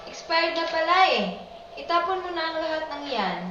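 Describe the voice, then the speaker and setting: A woman's voice: extremely high pitch, big pitch swings, moderate pace, a little hiss or hum, pitched above the performer's own voice, full character voice. A woman playing a caring, fretful older household nanny in an amateur radio drama. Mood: worried, scolding. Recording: roomy, distant, dull sound under loud music.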